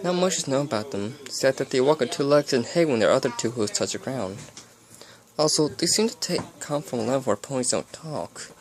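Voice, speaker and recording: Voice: medium pitch, animated, brisk, lightly acted, low-quality recording. A reader voicing a studious, earnest young female pony princess in a storybook, explaining carefully.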